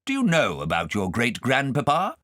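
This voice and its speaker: Pompous, blustering stout gentleman, pitched high. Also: huge pitch swings, moderate pace, dry recording, clean studio sound. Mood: patronizing. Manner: pompous, quizzing with "eh?".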